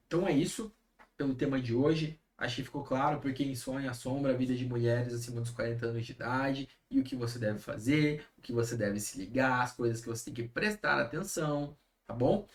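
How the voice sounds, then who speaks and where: Man, 30s, mid-pitched, easygoing advice-giving live host who checks in constantly. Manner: conversational, often adds tag questions. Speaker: health coach hosting a live stream. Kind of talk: casual live-stream advice talk.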